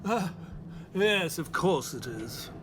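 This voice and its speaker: A man playing a polite, inquisitive English doctor in an audio drama, expressive and well-mannered. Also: on the high side, slow, noisy background. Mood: curious, wary. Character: polite, inquisitive English doctor narrating his detective adventures. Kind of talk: scripted first-person audio drama narration.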